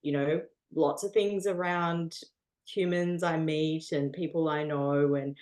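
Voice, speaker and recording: Aussie woman guest, lowish voice, chatty and earnest, laughs a lot, lots of um and you know. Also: steady tone, takes it slowly, darkish tone. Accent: Australian. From Australia. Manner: earnest, laughs often, many fillers. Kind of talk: casual interview chat.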